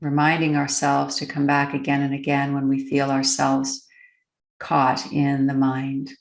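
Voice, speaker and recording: Low, creaky-voiced woman guiding meditation. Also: completely flat delivery, roomy sound. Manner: gentle, deliberate, with long pauses. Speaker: guided meditation coach. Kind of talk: slow, unscripted guided meditation.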